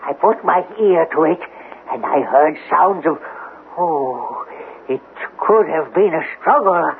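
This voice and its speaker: A man's voice: fairly high pitch, lively intonation, slow pace, vocal fry, exaggerated voice. An actor playing a suspicious, eccentric, nervous male neighbour who talks evasively and repeats himself in a radio drama. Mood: agitated, secretive. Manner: evasive, repetitive, dramatic storytelling. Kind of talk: 1970s radio drama acting. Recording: tinny band-limited old radio recording, hiss.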